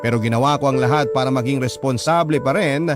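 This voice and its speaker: A man's voice: medium pitch, fast. A man with a Filipino accent narrating a scripted, dramatic first-person story written as a letter. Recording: music bed behind the voice.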